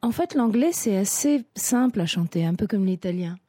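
Husky soft-spoken woman. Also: medium pitch, lively intonation, no room sound. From Italy. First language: Italian. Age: around 50. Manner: soft, thoughtful, pausing to search for words. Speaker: singer and guest. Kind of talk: reflective interview talk.

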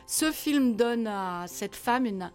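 A woman's voice: fairly high pitch, lively intonation, slow pace, darkish tone, faint music behind. A woman, a magazine editor, reviewing a film on radio in a thoughtful, critical way, with occasional stammering.

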